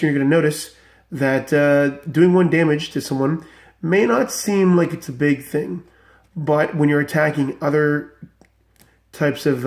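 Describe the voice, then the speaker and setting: A man's voice: on the high side, gravelly. Enthusiastic man with a recovering voice. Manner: enthusiastic, rambling with many fillers. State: voice still recovering from illness. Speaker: card game deck reviewer. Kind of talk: casual deck walkthrough.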